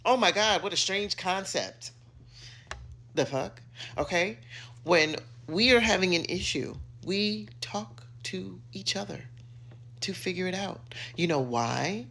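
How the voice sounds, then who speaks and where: A middle-aged American woman giving an opinionated rant to camera, emphatic, sassy and theatrical, with dramatic pauses and drawn-out words. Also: fairly low pitch, animated, vocal fry, a bit bright. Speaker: social media commentator.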